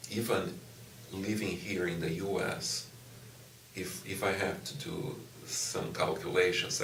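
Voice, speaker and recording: A middle-aged professor from Brazil whose first language is Portuguese talks slowly in a very low voice. He answers thoughtfully, with many pauses and restarts. The recording is roomy and taken from some distance, with faint ambience behind. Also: gravelly, fairly bright tone, slight background noise. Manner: slow, thoughtful, with frequent "you know" and restarts. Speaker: university professor. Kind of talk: interview answers. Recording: echoey room, distant mic, faint ambience.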